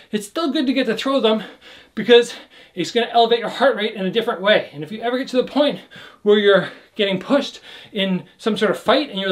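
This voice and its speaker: A young man teaching a kickboxing workout, calling out combinations while he demonstrates the moves in a small indoor room. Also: high voice. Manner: calls out combos while demonstrating, energetic. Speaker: kickboxing instructor. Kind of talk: workout instruction. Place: indoor room with trophy shelves.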